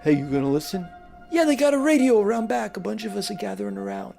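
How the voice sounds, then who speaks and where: A man's voice: high-pitched, very animated, fast talker, vocal fry, mellow, faint music behind, exaggerated voice. An actor playing an eager young man chatting casually in a radio drama.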